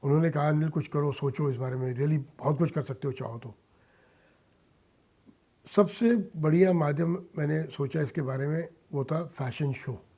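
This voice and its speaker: Higher-pitched mellow man, earnest and emphatic. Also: normal speed. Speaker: interview guest, industrialist and philanthropist. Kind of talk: earnest interview answers mixing Hindi and English. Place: radio studio.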